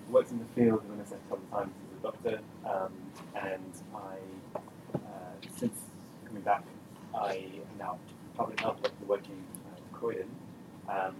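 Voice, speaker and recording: A man, a public health doctor, introducing himself at a meeting in a halting way with many fillers. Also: on the low side. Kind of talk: round-the-table introduction. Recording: noisy room, mic far off.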